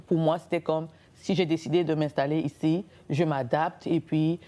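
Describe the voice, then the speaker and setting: Interview guest, woman from Cameroon, lowish mellow voice, thoughtful delivery with pauses. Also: steady tone. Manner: thoughtful, with frequent hesitations and rhetorical questions. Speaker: guest, entrepreneur and author. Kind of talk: conversational interview.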